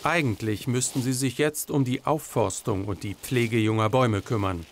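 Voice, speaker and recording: A man's voice: mid-range voice, moderate pace, gravelly, slight background noise. A man reading scripted narration for a television news report. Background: background music.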